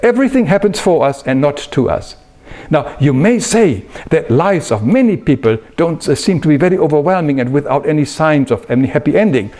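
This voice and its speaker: A man giving an explanatory spiritual talk in an earnest, emphatic manner with measured phrasing. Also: a little high, lively intonation, mellow, soft background music. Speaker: spiritual teacher.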